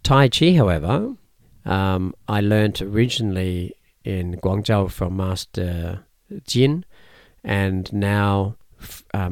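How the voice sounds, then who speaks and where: A man whose first language is Cantonese, a martial arts teacher explaining his teaching in a thoughtful interview, often pausing and restarting. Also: sits low, dry recording, clean studio sound. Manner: measured, thoughtful, with frequent fillers and restarts. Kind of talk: conversational interview answers.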